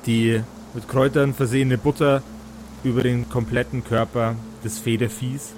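A man narrating as game master of a tabletop role-playing audio play, improvising descriptions in deliberate phrases with pauses. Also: medium pitch.

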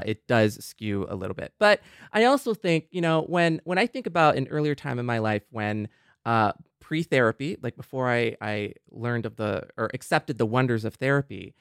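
A man's voice: medium pitch, expressive, normal speed, a bit bright, smooth, no room sound, clean studio sound. A man with an American accent co-hosting a casual podcast, agreeable and self-deprecating as he talks things through. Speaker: podcast co-host.

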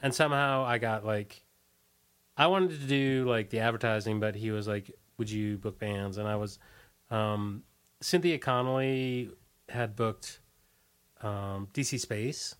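A man's voice: lowish voice, fairly even intonation, fairly bright tone, clean tone. A man reminiscing casually as an interview guest, telling stories loosely and often trailing off. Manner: halting, trailing off, loose storytelling.

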